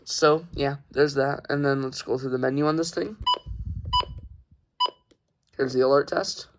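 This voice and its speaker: A young man giving a casual, halting, informal product showcase as a hobbyist reviewer. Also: mid-range voice, steady tone, a little hiss or hum. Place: carpeted room at home.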